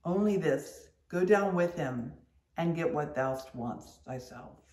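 A reader voicing a calm, sober chief mate who answers in short, restrained words. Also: medium pitch, slow, roomy sound. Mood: curt.

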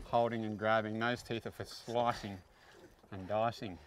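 A man, hosting a fishing show from a small open boat at sea and commentating excitedly, often breaking into shouts and laughter. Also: lowish voice, normal speed. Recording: loud wind, water and motor noise.

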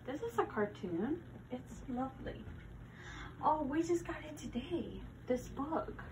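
Slow, soft-spoken woman in noisy ASMR roleplay. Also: high voice, expressive. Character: new female library worker, helpful and hesitant.

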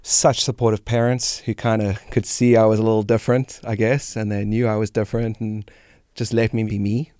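A man's voice: on the low side, level tone, brisk, darkish tone, no room sound. A South African man in his forties, a musician guest, chatting casually and reflectively into a close studio microphone. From South Africa, grew up in Cape Town. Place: small indoor podcast studio. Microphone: close studio microphone on arm.

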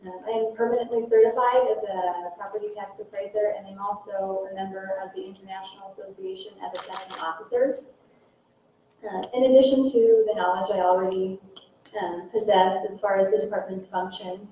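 A woman's voice: mid-range voice, steady tone, brisk. A woman in her thirties delivers a prepared candidate statement, earnest and formal but full of small fillers. She stands with a handheld mic in a large hall, heard from a distance through heavy echo. The sound is narrow like a phone line, with faint hiss. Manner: earnest, formal, with frequent ums and uhs. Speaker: county assessor candidate.

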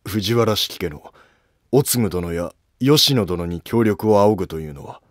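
A man's voice: low voice, animated, unhurried, dry recording, clean studio sound. A man playing a loyal, earnest court official with humble, formal speech in a historical drama. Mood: earnest, cautious. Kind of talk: scripted historical drama dialogue.

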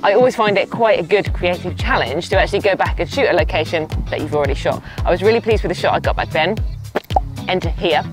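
A chatty woman in her thirties speaks to camera in a slightly low voice, upbeat and relaxed. She is outdoors on a lapel mic. Music plays clearly behind her. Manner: upbeat, chatty, gestures while talking. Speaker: travel photographer vlogger. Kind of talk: casual to-camera vlog. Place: outdoors by a roadside at dusk. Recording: background music playing.

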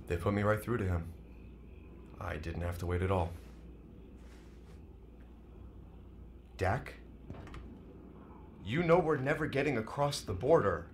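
An actor playing an anxious, bewildered man on the run as an accomplice in an audio drama, halting and full of questions. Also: fairly low pitch, slight background noise, close to an everyday voice. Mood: guilty, pleading. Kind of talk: naturalistic audio drama acting.

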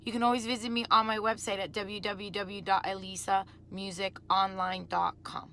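A woman's voice: medium pitch, little pitch movement, normal speed, over loud music. A woman in her thirties chatting casually to followers, recorded on a phone held at arm's length. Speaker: singer vlogging. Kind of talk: casual selfie vlog chat. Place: small indoor room at home, later inside a car.

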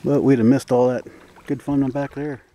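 A man narrating his canoe trip outdoors in a relaxed, rambling way with many fillers, recorded on a camera. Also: mid-range voice, normal speed, poor audio. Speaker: outdoor vlogger.

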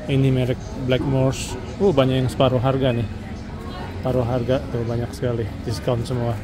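A man's voice: medium pitch, completely flat delivery, normal speed, over music. Chatty man narrating a shopping vlog. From Indonesia. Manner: chatty, points out prices to viewers. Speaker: vlogger. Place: shops and street outdoors.

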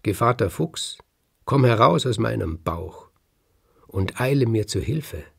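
Man telling it as a cunning, gravelly rooster. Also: fairly low pitch, expressive, a little slow, pitched above the performer's own voice, exaggerated voice. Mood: commanding. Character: cunning, demanding talking rooster in a folk tale.

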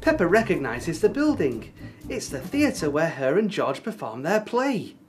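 A narrator telling a scripted children's story in an expressive way. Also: mid-range voice, a little slow, faint music behind.

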